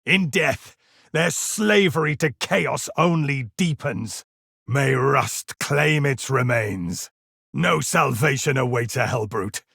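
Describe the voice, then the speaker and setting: A man's voice: mid-range voice, animated, takes it slowly, vocal fry, sharp tone, slightly rough, no room sound, clean studio sound, full character voice. A man voicing a sombre, disdainful space marine in a video game, speaking with grave, formal scorn. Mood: sombre contempt. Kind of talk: video game battle barks.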